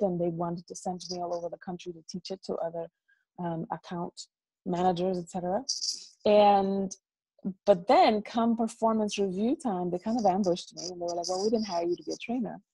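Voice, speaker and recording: A woman from the Dominican Republic, a guest on a video call interview, telling stories in a lively, animated way and often repeating words. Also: lowish voice, moderate pace, dry recording, poor audio. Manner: lively, animated storyteller who repeats words as she talks. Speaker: guest, business coach. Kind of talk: casual interview storytelling. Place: dorm room.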